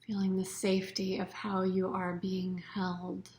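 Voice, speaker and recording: A woman teaching a guided yoga nidra meditation in a soothing, unhurried way, her phrases often trailing off into soft breath. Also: mid-range voice, completely flat delivery.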